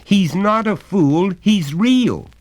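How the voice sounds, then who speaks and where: A man's voice: fairly low pitch, big pitch swings, slow, gravelly, darkish tone, voice pushed up, full character voice. A man voicing a stubborn, earnest little boy who insists passionately. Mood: insistent.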